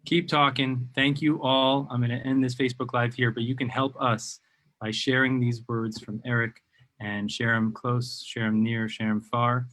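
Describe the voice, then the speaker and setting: A man's voice: mid-range voice, little pitch movement, normal speed, fairly bright tone. A man hosting a live-stream interview, enthusiastic and rambling, with many ums and uhs. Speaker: host of a live video interview show.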